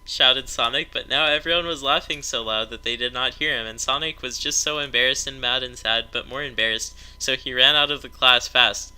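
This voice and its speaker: A man's voice: medium pitch, fairly quick, hardly any pauses, sharp tone, clean tone, rough recording. A man narrating a comedic story aloud to friends, prone to breaking into laughter as he reads.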